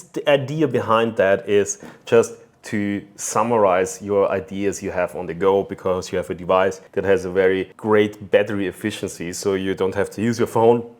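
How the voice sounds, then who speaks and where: A man giving a casual hands-on product review, talking conversationally and thinking aloud as he goes. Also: low-pitched.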